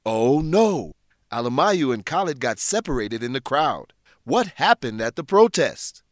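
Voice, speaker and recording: Male narrator, medium pitch, animated intonation, scripted educational radio hosting. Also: measured pace, no room sound, studio quality. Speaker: narrator and English lesson host. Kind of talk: scripted narration with questions to listeners.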